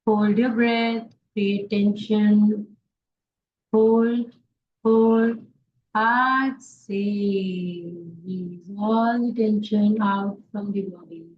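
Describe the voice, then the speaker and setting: A woman yoga instructor leading a guided relaxation meditation in short instructions with pauses between them. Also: medium pitch, fairly even intonation. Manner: slow, pausing instructions in short phrases. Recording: dull lo-fi sound, faint background noise.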